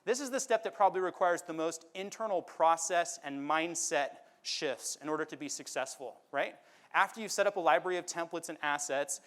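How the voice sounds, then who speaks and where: Man presenting, higher voice, keeps asking "right?". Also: a bit bright. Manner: persuasive, often ending with "right?". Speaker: conference speaker. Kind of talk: conference presentation.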